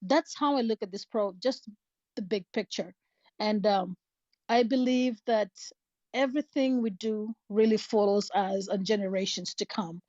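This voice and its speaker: A woman from Kenya, speaking thoughtfully and earnestly in a casual talk, pausing on fillers. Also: medium pitch, a little mellow, no room sound. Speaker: guest speaker. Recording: dull, muffled online audio room.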